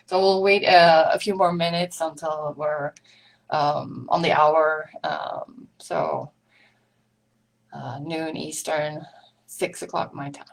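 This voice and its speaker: A woman's voice: sits low, fairly even intonation, slow, vocal fry, pauses often, poor audio. A woman from Sweden with a Swedish accent, hosting a live online meetup in a chatty way, with many ums and small laughs. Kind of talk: casual live-stream chat.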